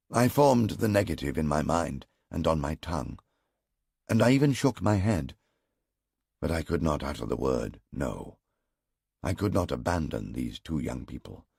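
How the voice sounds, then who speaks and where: A man reads a first-person audiobook in a slow, grave, measured manner. His voice sits very low and has a husky grain, yet his pitch moves a great deal. The booth sound is dry and close. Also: a little mellow, no room sound. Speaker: audiobook narrator.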